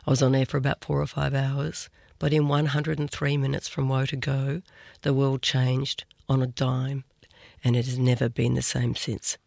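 Australian newswoman guest, low-set gravelly voice, steady and even, deliberate recounting. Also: no echo, studio quality. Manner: vivid, measured recounting, slows for emphasis. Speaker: veteran TV news presenter, guest. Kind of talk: reflective interview answers.

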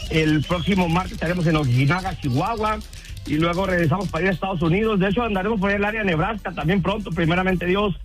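A man from Durango, a band's singer speaking in a relaxed, rambling and friendly way on a phone-in radio interview. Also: high voice, fast, faint music behind. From Durango, Mexico. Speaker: band vocalist, phone guest.

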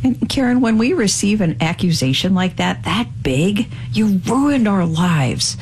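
A woman co-hosting a call-in advice show, gently counseling a caller and explaining her feelings to her. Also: medium pitch, animated, unhurried, a little hiss or hum. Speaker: radio co-host, counselor.

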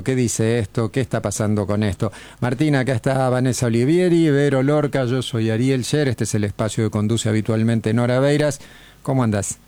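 A man's voice: medium pitch, mellow, clear, resonant tone, dry recording. A man with an Argentine accent hosting a radio interview, asking long, winding questions. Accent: Argentine Spanish. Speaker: radio host.

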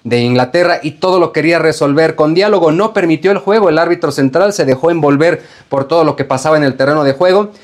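A male refereeing analyst speaks in a fairly high voice. He delivers a prepared monologue in a formal, critical manner. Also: fast talker, few pauses. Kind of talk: prepared sports commentary monologue.

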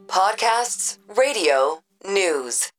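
A woman's voice: mid-range voice, expressive, extremely slow. A woman reading a polished, scripted radio promo. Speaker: announcer. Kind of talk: promo announcement. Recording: over loud music.

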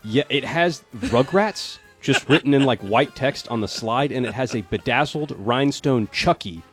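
A man co-hosting a podcast, reading out news items in relaxed, hesitant chat. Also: medium pitch, moderate pace, husky, soft background music. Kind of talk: casual news rundown chat.

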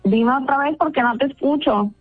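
A woman's voice: sits high, brisk, narrow, tinny audio, soft background music. A woman in a casual phone chat, talking politely and patiently with an amused manner. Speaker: phone participant in a radio prank.

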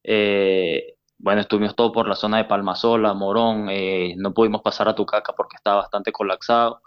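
A man's voice: fairly low pitch, level tone, brisk, smooth, highs cut off. A Venezuelan man who is tired, a citizen volunteer interviewed on the news. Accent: Venezuelan Spanish. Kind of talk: phone interview.

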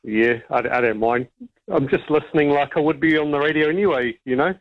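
A New man calls in to talk radio, relaxed and wry in his chat. His voice sits a little high for a man. It comes through a tinny phone line. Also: a little fast. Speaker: caller. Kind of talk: casual radio call-in chat. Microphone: telephone.

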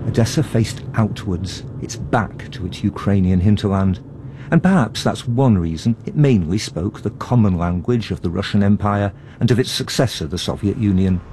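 A man's voice: on the low side, animated, moderate pace, warm tone, slight background noise. A man presenting a radio documentary, moving between scripted narration and interviews on location.

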